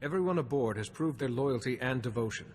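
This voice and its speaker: Man playing a calm, formal Jedi in reverb. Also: mid-range voice, slight background noise, close to an everyday voice. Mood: diplomatic, gentle. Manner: measured, formal. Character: calm, diplomatic male Jedi sage, kindly and formal.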